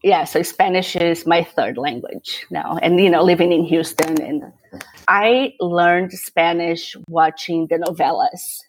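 A woman's voice: lowish voice, a little slow, slight background noise, low-quality recording. A middle-aged woman from Brazil with a Portuguese accent, speaking as an interview guest in an easygoing way, repeating words as she thinks. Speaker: guest, tax attorney and CPA. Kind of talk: casual interview talk.

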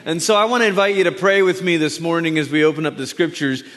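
A man's voice: a little high, fairly even intonation, quick pace. Man from Malaysia preaching in a reverberant church. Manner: warm, conversational, chatty asides. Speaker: guest preacher. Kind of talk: sermon introduction. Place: large church sanctuary. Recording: long hall reverb.

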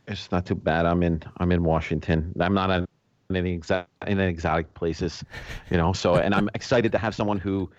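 A man co-hosting a podcast remotely, talking in a rambling, halting way. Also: sits low, steady tone, no room sound, dull audio. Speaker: podcast co-host. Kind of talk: podcast interview.

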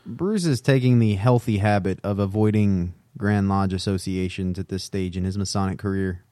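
A man's voice: low-pitched, normal speed, mellow, dry recording, clean studio sound. A man co-hosting a podcast in casual banter, with a wry way of talking. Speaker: podcast co-host.

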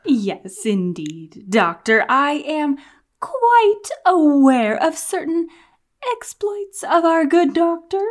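A woman playing a sly, fawning, covetous henchwoman in an audio drama, with insinuating, drawn-out phrases. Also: high voice, big pitch swings, heavily acted. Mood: oily flattery.

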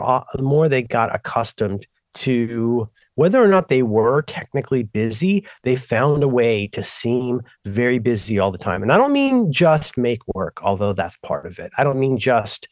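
A middle-aged man co-hosting a podcast, telling long digressive stories and breaking off to restart his sentences. His pitch swings widely as he talks. The sound is close and dry, with no room echo. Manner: rambling, self-interrupting, animated storytelling. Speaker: podcast co-host. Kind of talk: casual chat.

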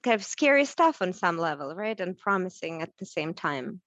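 A woman speaking as a guest in a conversational interview, chatty and animated and full of questions. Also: on the low side, moderate pace, no room sound. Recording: dull, muffled recording.

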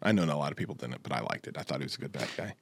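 A man's voice: rumbling low, expressive, very fast, gravelly, husky, dry recording. A man co-hosting a podcast in casual, agreeable chat, freely giving his opinions in informal language. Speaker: podcast co-host.

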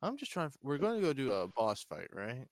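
Animated man in casual, sweary banter. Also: medium pitch, a little fast. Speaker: podcast co-host.

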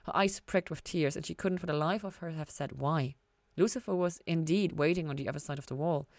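A woman reading a story aloud as scripted narration, with expressive, dramatic emphasis. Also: low-pitched, a little fast, no echo. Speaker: podcast host reading fan fiction.

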